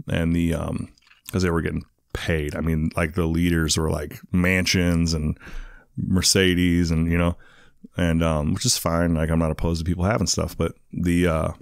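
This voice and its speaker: A man's voice: sits low, gravelly, no echo, studio quality. A man telling his life story as a guest in a casual interview, rambling with lots of fillers. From England. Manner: rambling, many fillers, "you know what I mean".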